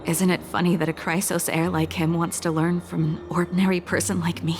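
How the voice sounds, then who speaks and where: A woman acts out a stoic warrior widow from a fantasy game. Her voice is a little low, calm and deliberate, with clear music behind it. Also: natural voice. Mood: quiet grief, resolve. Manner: calm, restrained, deliberate pauses. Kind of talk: video game voice acting.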